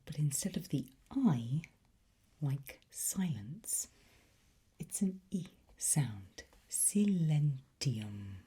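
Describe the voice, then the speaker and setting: A woman speaks in a soft, dark, very breathy whisper, at an extremely slow pace. She plays a patient ASMR teacher, gently encouraging the listener. The delivery is unhurried and close to unvoiced throughout. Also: deep voice, very animated. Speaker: ASMR host playing a language teacher.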